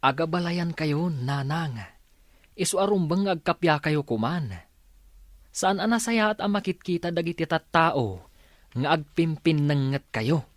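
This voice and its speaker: A sensible, admonishing grown son, played by an actor, with an Ilocano accent and a slightly high male voice. The radio drama delivery is earnest, in a dry, close recording. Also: a little slow, dry recording, lightly acted.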